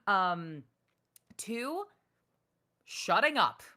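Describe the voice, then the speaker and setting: Woman voice actor giving frank tips, bright voice, lots of energy. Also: very slow. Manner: animated, acts out quoted people in higher voice. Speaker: voice actress guest. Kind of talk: casual interview chat.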